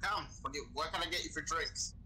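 A man's voice: on the high side, fast talker. A young man improvising in character as a bartender in game role-play over voice chat. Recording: faint background noise on game voice chat.

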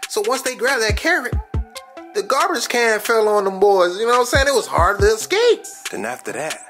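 A man's voice: sits high, very animated, normal speed, a bit bright. Slangy young American man over music. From Orlando, United States. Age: young adult. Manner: slangy, conversational. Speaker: vlogger. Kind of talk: casual vlog chat.

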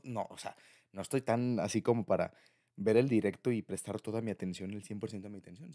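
Casting: young Mexican man for intimate boyfriend audio, mid-pitched voice with rasp, confiding, casual slang. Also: a little mellow. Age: young adult. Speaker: audio roleplay creator speaking to a partner. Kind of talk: intimate conversational ASMR boyfriend roleplay.

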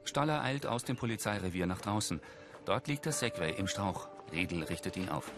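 A man's voice: deep voice, lively intonation, moderate pace, music in the background. A man reading scripted audio description for a television series.

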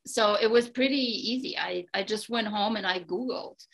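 A woman in her forties from Romania, speaking English with a Romanian accent as a guest on a video call, pausing often with ums and restarts. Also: medium pitch, creaky, rough recording. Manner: hesitant, many ums and restarts. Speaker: guest, real estate broker. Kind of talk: casual interview talk. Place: home room, indoors.

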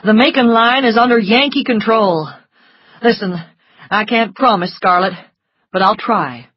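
A woman reading a story aloud, voicing an exhausted, gruff middle-aged male doctor who speaks slowly and curtly. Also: fairly high pitch, lo-fi sound, voice pushed up. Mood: weary. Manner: slow, weary, curt.

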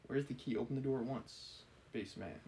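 A man's voice: mid-range voice, normal speed, warm tone, close to an everyday voice. Man reading as a frightened, indignant young woman. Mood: alarmed, indignant. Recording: clearly audible background noise.

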